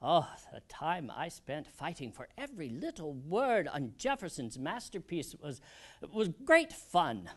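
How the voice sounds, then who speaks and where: An actor playing an elderly American statesman in a theatrical historical monologue, proud and wistful, with deliberate, old-fashioned diction and reflective pauses. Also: on the high side, sing-song, fairly bright tone, full character voice.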